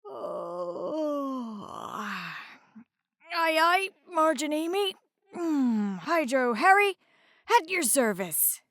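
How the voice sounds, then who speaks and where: A woman voicing a drowsy but dutiful male hydrocopter in a children's storybook, halting and yawning as he talks. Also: sits high, big pitch swings, fairly bright tone, dry recording, clean studio sound, voice pushed up, full character voice. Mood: sleepy, willing.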